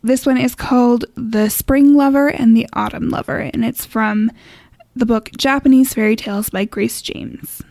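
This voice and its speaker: Woman podcast host with heavy vocal fry. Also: on the high side, steady tone, normal speed, a little mellow, dry recording. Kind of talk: casual chat.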